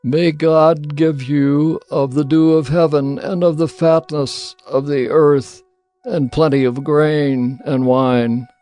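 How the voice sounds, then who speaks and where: An aged, frail patriarch in an audio Bible drama. He speaks very slowly and solemnly, in a somewhat high male voice. Music runs underneath. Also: steady tone, warm tone, clear, resonant tone. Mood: solemn blessing. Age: older. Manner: slow, deliberate, solemn delivery. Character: frail old father, solemn patriarch near death. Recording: music bed behind the voice.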